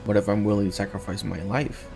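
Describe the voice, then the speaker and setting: An actor playing an earnest knight commander in a fantasy video game, direct and questioning. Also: male voice, on the low side, steady tone, fast talker, gravelly, over music.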